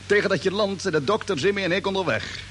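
An actor playing a confident space fleet captain in a radio drama, giving clipped, authoritative orders. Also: male voice, sits high, fast talker, a bit bright. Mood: commanding, brisk. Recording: old radio play, humming background noise.

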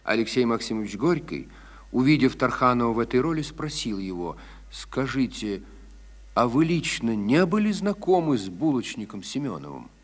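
Male narrator for scripted documentary: medium-pitched, animated intonation, expressive delivery. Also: moderate pace.

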